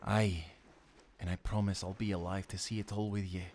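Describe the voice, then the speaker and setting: An actor playing a loyal, tender sailor in a nautical audio roleplay, speaking in an earnest, gentle way. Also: male voice, deep voice, little pitch movement, normal speed, slight background noise, lightly acted. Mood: tender, devoted. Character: loyal, tender young sailor, devoted companion.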